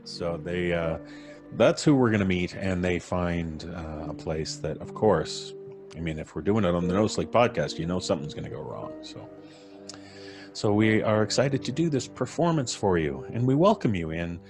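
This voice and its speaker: A man hosting a live story show, giving a casual, joking introduction with wry asides and hesitant pauses. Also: deep voice, expressive, over music. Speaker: podcast host introducing a live performance.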